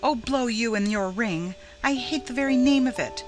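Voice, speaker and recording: A woman's voice: on the low side, normal speed, over music, lightly acted. A woman voicing a wretched, tearful young schoolgirl in a storybook, petulant and pleading as she defends herself. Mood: sobbing anger.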